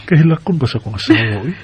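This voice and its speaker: An actor playing a devoted, playful young husband in a sentimental radio drama, tender and earnest. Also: male voice, fairly high pitch, darkish tone, a little hiss or hum. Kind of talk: sentimental radio drama acting with first-person narration. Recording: dull lo-fi radio sound with music.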